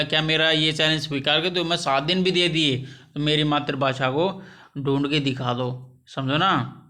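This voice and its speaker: A man teaching English through a story, translating each phrase into Hindi with halting, repetitive explanations. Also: on the high side, sharp tone.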